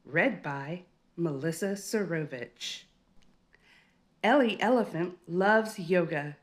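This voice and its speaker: A woman narrating a children's picture book in an expressive, deliberate read-aloud manner. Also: medium pitch. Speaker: storybook reader. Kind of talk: scripted children's story narration.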